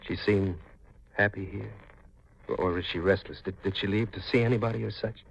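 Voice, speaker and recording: A man playing a haunted, menacing fisherman in a 1950s radio mystery, speaking in a halting, ominous way with a gravelly voice. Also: lowish voice, fairly even intonation. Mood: brooding, suspicious. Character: brooding, haunted, menacing fisherman, a grieving murderer. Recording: noisy, muffled 1950s radio recording.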